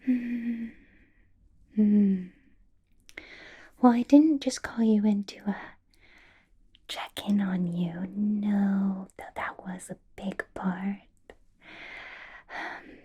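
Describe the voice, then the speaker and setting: A woman playing a possessive, seductive vampire boss in an ASMR roleplay, politely menacing in a soft whisper with deliberate, coaxing phrasing. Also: medium pitch, breathy edge, muffled recording. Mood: coaxing, doting. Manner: slow, deliberate, coaxing, with lingering pauses. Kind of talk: ASMR roleplay, personal attention.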